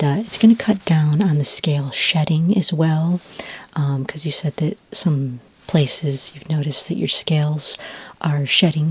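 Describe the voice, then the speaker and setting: A woman playing a kindly alien skin care consultant in an ASMR roleplay, speaking in a breathy near-whisper with a gentle, unhurried, reassuring manner. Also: deep voice.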